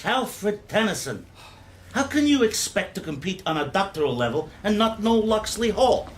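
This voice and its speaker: A man's voice: high-pitched, big pitch swings, moderate pace. A man acting a haughty, exacting history professor in a radio drama, declaiming in a pompous, imperious way. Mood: scornful.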